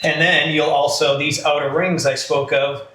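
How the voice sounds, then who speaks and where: Male medical expert, bright forward voice, conversational explainer, roomy sound with faint noise. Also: on the high side, flat delivery, moderate pace. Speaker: medical expert guest on a health podcast.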